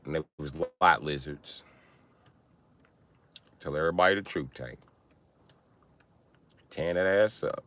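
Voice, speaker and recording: A man hosting a casual livestream, teasing and swearing as he banters with viewers in an animated, exaggerated way. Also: a little slow, pauses often. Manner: teasing, profane mockery; animated, breaks into high-pitched exaggerated mimicry. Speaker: livestream host chatting with viewers.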